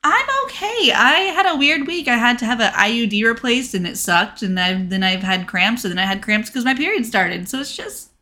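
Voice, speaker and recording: Bright-voiced woman in casual banter. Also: a little high, lively intonation, a little fast, hardly any pauses. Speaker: podcast co-host.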